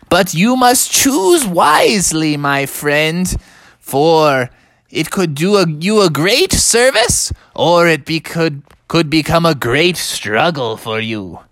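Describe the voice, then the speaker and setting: A woman's voice: fairly low pitch, dry recording, heavily acted. A woman voicing an old male owl wizard, grandly solemn and impatient, in a bedtime story.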